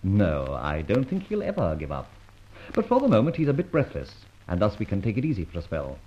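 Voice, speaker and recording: Suave, hugely animated gentleman thief. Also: lowish voice, fairly quick. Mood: breezy, confident. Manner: urbane, wry, theatrical. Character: suave, self-satisfied gentleman thief recounting his adventures. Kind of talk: old radio drama narration and dialogue. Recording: dull old radio recording.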